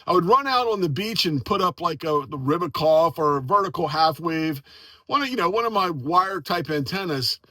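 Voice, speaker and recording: A man's voice: on the high side, lively intonation, normal speed, bright, forceful tone, no room sound. An American man chatting casually and enthusiastically as an amateur radio operator and video host. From United States. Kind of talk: casual storytelling and on-air radio chat.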